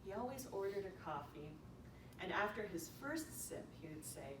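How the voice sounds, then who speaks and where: A woman's voice: very animated, normal speed. Woman reading a eulogy in an echoey room. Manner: storytelling, reading aloud from a prepared text. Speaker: eulogy reader. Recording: echoey room, distant mic, noisy rumble.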